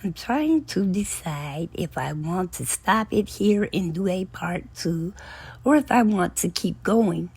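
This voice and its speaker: A woman hosting a book channel, retelling a novel's plot in a relaxed, storytelling way, her voice raspy and hoarse from talking a long time. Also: lowish voice, takes it slowly. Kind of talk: casual book recap.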